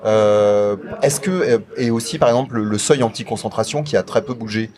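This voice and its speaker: A man's voice: lowish voice, fairly quick, crowd noise. A man interviewing a guest off the cuff, feeling his way through long, roundabout questions. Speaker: radio show interviewer.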